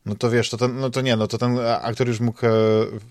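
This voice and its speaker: A man's voice: mid-range voice, flat delivery, a little fast, darkish tone, no room sound. A man with a Polish accent hosting a podcast in casual chat, rambling and hesitant, often repeating words as he searches for phrasing. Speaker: podcast host. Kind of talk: casual chat about games and comics.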